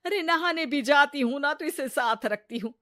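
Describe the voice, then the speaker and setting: A grumbling, self-pitying mother-in-law, voiced by a woman for a cartoon story. She scolds and moans in a slightly high voice. The recording is dry and close-miked. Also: moderate pace, sharp tone, no room sound. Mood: complaining. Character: scolding middle-aged Indian mother-in-law, complaining. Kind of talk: cartoon voice acting.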